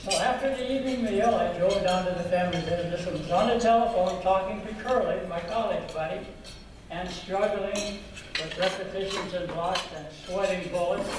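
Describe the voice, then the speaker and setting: An older man earnestly recounting his life story in a slow, reflective manner, recorded from a distance. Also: high-pitched, noisy background. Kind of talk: personal storytelling talk. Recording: echoey and distant with loud background clatter.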